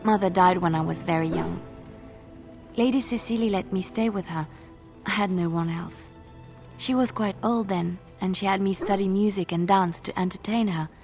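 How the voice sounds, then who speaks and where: An actress playing a gentle, wistful young bard in a video game, with a sincere storytelling manner. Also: female voice, medium pitch, moderate pace, faint music behind, close to an everyday voice. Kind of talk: video game dialogue acting.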